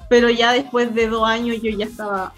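A young woman with a Chilean accent, a filmmaker chatting casually as an interview guest, relaxed and laughing often. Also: on the high side, a little fast, faint music behind. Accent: Chilean Spanish. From born in Hawaii, raised in Chile. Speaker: guest, filmmaker and film teacher. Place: remote interview from Hawaii.

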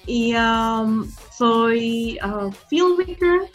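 A young woman with a Chilean accent, a filmmaker chatting casually as an interview guest, relaxed and laughing often. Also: a little high, drawn-out words, faint music behind. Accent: Chilean Spanish. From born in Hawaii, raised in Chile. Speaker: guest, filmmaker and film teacher. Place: remote interview from Hawaii.

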